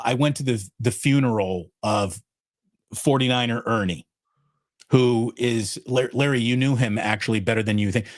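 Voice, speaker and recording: A man's voice: mid-range voice, dry recording, studio quality. Emphatic man hosting a sports talk show. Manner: emphatic, repeats phrases for emphasis.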